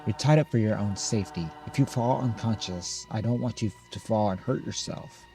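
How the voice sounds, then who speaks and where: A man's voice: lowish voice, normal speed, warm tone, natural voice. A man voicing an anxious, controlling mother in a horror game, coaxing and insistent. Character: anxious, controlling mother fussing over her daughter.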